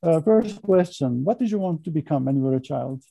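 A man's voice: on the high side, expressive, brisk, vocal fry, warm tone, no room sound. A man hosting an interview, carefully reading out a guest's introduction and asking short questions, sometimes stumbling over words. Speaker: interview host.

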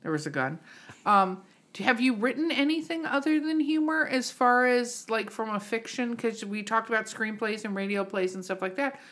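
A woman's voice: a little high, animated, moderate pace, a bit bright. Teasing, blunt woman co-hosting a podcast. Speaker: podcast co-host. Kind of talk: casual chat.

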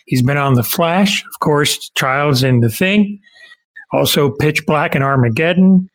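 A man's voice: on the high side, unhurried, rough recording. A man hosting a podcast interview in a casual, effusive and informal way. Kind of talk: casual enthusiastic interview chat.

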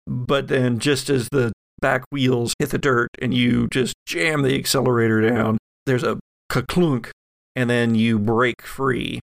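Gravelly-voiced man narrating a tabletop game. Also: mid-range voice, level tone, a little slow, dry recording. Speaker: game master and podcast host.

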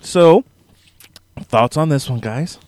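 A man hosting a casual podcast with his friends, crude and joking, a little hoarse. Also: a little high, lively intonation, slow, darkish tone, no echo. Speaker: podcast host.

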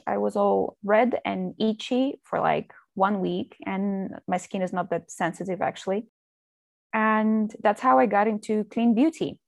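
Woman with gravelly voice. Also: medium pitch, no room sound. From Russia. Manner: relaxed, with fillers and light laughs. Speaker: interview guest. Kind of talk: casual interview chat.

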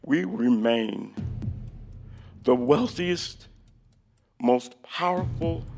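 A man acting as a weary, solemn American president giving a halting, deliberate speech in a radio play. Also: mid-range voice, fairly bright tone. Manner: halting, deliberate, repeats words for emphasis. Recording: long reverb, music underneath.

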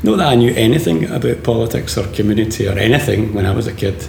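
Gravelly man interviewing. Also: mid-range voice, fast. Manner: relaxed, chatty, rambling questions. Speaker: host, interviewer. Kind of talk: casual interview chat. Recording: echoey room, faint background noise.

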